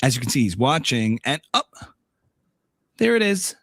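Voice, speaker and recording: A man's voice: medium pitch, big pitch swings, moderate pace, fairly bright tone, no echo, clean studio sound. A man playing a smug, suspicious female conspiracy podcaster, repeating phrases insistently in a mock-dramatic commentary. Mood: knowing, suspicious. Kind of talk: satirical impersonation of a commentary podcast.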